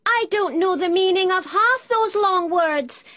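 A man's voice: very high voice, a little slow, no room sound, muffled recording, pitched above the performer's own voice. A man voicing a cheeky, scornful young eaglet with an impudent, challenging manner.

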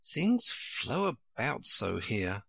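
A man voicing a polite, earnest seven-year-old girl in a storybook, with a gentle manner. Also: medium pitch, sing-song, measured pace, vocal fry, slightly rough, no echo, pitched above the performer's own voice, heavily acted. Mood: plaintive. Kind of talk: storybook character reading.

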